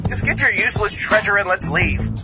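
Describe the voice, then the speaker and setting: A man voicing an excitable, silly zombie astronaut in a comic audio drama, whiny and scatterbrained. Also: sits high, fairly quick, muffled recording, loud music behind the voice, lightly acted. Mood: impatient.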